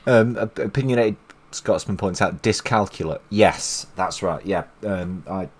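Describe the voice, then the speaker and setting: A man's voice: deep voice, a little slow, breathy edge. A man from England hosting a live stream, chatting casually and rambling, with lots of restarts and fillers. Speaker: stream host. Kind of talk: casual chat.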